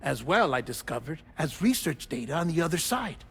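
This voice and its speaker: A man playing an eccentric scientist delivering a recorded message as a theatrical monologue in a game cutscene, deliberate with sudden flourishes. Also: fairly high pitch, sing-song, creaky, fairly bright tone, breathy edge, exaggerated voice. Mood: explanatory, dramatic.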